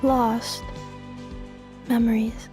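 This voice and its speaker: A woman voicing a polite, gentle female robot companion in a video game, speaking in a formal, composed way. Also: fairly high pitch, flat delivery, drawn-out words, over music. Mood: polite, troubled.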